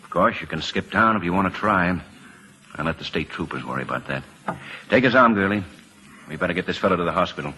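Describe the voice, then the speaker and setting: A man's voice: sits low, lively intonation, fast talker, bright, forceful tone, low-quality recording. An actor playing a wry, folksy, fatherly government lawman in an old-time radio drama. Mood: dry, confident. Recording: old radio broadcast recording.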